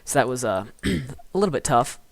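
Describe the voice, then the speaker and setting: A man's voice: medium pitch, very animated, moderate pace, vocal fry. A young man in his teens chatting casually on a live stream, stuttering and restarting often as he bluntly roasts commenters. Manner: stuttering, rambling, with frequent restarts.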